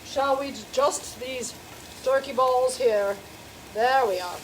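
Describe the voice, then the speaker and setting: A woman's voice: high voice, takes it slowly, slight background noise, poor audio. A middle-aged woman with a light Americanized German accent, hosting a chatty cooking demonstration in a home kitchen and fussily narrating each step. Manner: prim, fussy, homey, narrates each step. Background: food frying in a pan.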